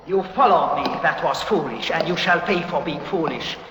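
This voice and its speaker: An actor playing a menacing, excitable old villain with stiff, formal, theatrical phrasing, in a vintage radio drama. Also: male voice, fairly high pitch, moderate pace, a crowd in the background, lightly acted. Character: menacing, frantic old villain hoarding stolen art treasures. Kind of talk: 1950s radio drama acting. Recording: very echoey, reverberant old radio recording.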